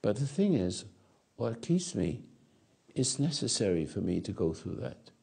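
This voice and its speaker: A man in his eighties giving a rambling philosophical talk to an audience on a lapel mic, halting and repeating his words. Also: lowish voice, very animated, creaky, a little mellow, breathy edge. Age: 80s. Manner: gestures with hands while talking. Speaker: speaker answering audience. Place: dark stage or studio.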